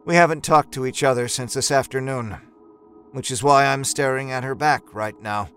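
A man narrating dramatic first-person horror fiction in a measured, deliberate, articulate manner. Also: mid-range voice, a bit bright, faint music behind. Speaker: storyteller.

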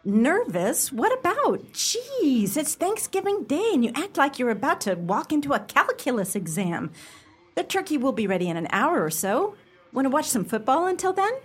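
A woman's voice: high voice, sing-song, moderate pace. An actress playing a blunt, fiery young woman in a radio play, forthright and exasperated. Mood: teasing, puzzled.

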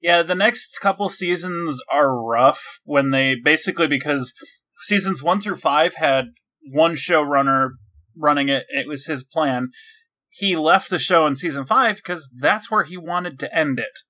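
A man's voice: a little high, unhurried, bright, forceful tone. A man co-hosting a casual podcast, laid-back and dry, often giving short answers. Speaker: podcast co-host.

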